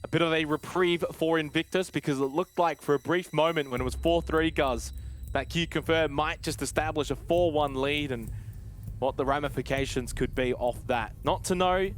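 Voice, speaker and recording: A man's voice: a little high, normal speed, a little hiss or hum. A man giving colour commentary on a live esports match in a conversational, reactive way.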